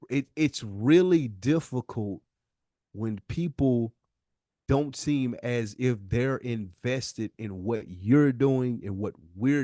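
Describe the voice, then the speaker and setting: A man's voice: mid-range voice, dark tone, no echo. American guy cohosting a podcast, real earnest n emphatic, repeats himself, dry close sound. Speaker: podcast co-host. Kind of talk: candid heart-to-heart conversation.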